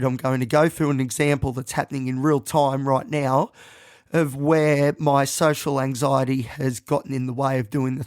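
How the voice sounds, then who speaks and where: An Australian man hosting his own podcast, talking casually and rambling with lots of ums, croaky and nasal from a head cold, self-deprecating about his voice. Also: mid-range voice, no room sound, clean studio sound. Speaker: podcast host. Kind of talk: casual solo podcast monologue.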